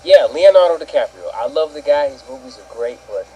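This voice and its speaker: A man's voice: fairly high pitch, animated, brisk, a crowd in the background, low-quality recording. A man co-hosting a casual opinion show, ranting indignantly and swearing as he goes. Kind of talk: casual opinion rant with a co-host.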